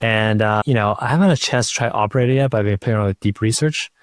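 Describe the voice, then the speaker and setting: Low-pitched man hosting a podcast. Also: very fast, no room sound, clean studio sound. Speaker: podcast host. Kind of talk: casual interview talk and scripted sponsor read.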